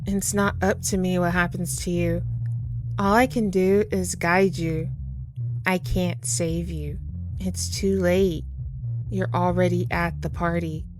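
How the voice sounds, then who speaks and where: A woman playing a gentle, sorrowful Grim Reaper in an ASMR audio roleplay, soft-spoken and tender as she reassures the listener. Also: lowish voice, little pitch movement, slow, music in the background. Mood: regretful, soothing. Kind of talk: ASMR audio roleplay, intimate comforting monologue.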